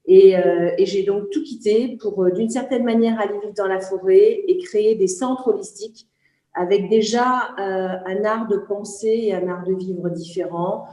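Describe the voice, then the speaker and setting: A woman from Senegal speaks earnestly, often pausing to search for her words. Her voice is warm and mellow. The room around her gives a roomy echo. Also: mid-range voice. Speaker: guest, trainer. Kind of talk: spoken testimony.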